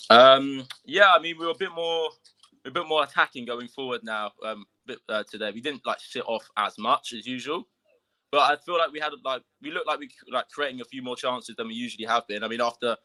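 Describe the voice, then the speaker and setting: A man calling in as a fan, croaky from screaming, talking hesitantly with lots of fillers. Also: medium pitch, brisk, pushed and bright, poor audio. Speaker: caller, football fan. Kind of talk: casual fan chat.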